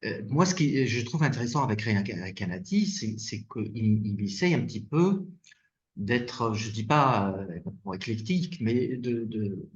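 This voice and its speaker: A man from Tunisia who wrote the book, discussing philosophy in an animated way while searching for his words. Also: on the low side, unhurried. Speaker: philosophy author leading the discussion. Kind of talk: academic discussion. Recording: echoey, dull lo-fi sound.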